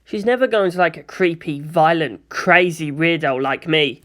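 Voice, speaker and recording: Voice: medium pitch, normal speed, a bit bright, close to an everyday voice. A narrator voicing an earnest, lovelorn teenage boy who doubts himself. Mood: defensive.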